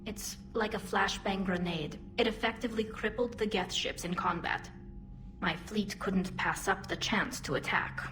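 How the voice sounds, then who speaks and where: A woman's voice: mid-range voice, normal speed, fairly bright tone, a little hiss or hum, lightly acted. Earnest young female alien admiral played by an actress. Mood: explanatory. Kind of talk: video game voice acting.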